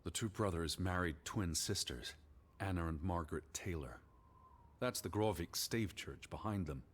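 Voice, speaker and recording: A weary American naturalist from a 1920s mystery game, played by a man. His voice is extremely low, measured and dry, with a skeptical, reflective temper. Noticeable ambient noise sits under the speech. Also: close to an everyday voice. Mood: somber musing. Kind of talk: video game voice acting.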